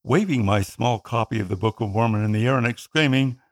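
Man, Tagalog speaker, slightly gravelly, earnest narrator for a scripted personal story. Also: mid-range voice. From Philippines. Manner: earnest, measured reading.

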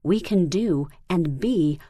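A woman reading a first-person story aloud in a calm, earnest manner, recorded close to the microphone. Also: on the low side, takes it slowly, slightly rough, no room sound. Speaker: reader.

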